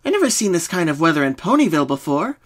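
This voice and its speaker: Guy doing a girly cartoon pony, way up high, anxious and wondering, kinda naive. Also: sing-song, quick pace. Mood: confused, uneasy. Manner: wondering, tentative.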